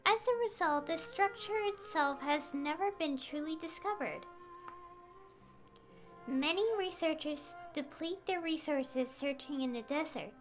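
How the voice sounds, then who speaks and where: A composed young traveler from an anime-style game. Her voice is high and articulate, with an earnest, measured delivery. Soft music runs behind it. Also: animated. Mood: earnest, studious. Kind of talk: anime-style video game voice acting, reading aloud.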